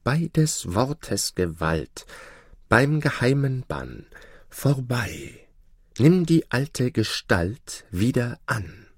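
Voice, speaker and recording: A man's voice: medium pitch, big pitch swings, extremely slow, no room sound, clean studio sound, pitched above the performer's own voice, exaggerated voice. A man voicing a wicked sorceress from a fairy tale, dramatic, devoted and imploring. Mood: incantation. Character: wicked sorceress, pleading and adoring toward her master.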